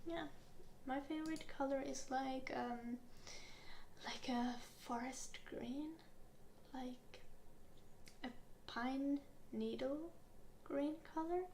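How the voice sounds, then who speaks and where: A young woman, a native Finnish speaker, gently interviewing in a soft-spoken ASMR roleplay, unhurried with soft giggles. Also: sits high, reverberant, slight background noise. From Finland.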